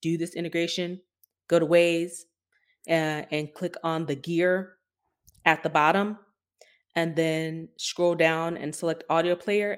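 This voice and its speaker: A woman hosting a tech podcast, explaining things casually in a measured way with pauses. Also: deep voice. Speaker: podcast host.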